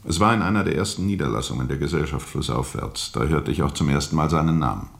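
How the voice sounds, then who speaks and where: An actor playing a world-weary steamboat captain who tells of his journey gravely and reflectively, with heavy pauses, in a radio drama. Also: rumbling low. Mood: terse, reflective. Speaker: narrator and lead character.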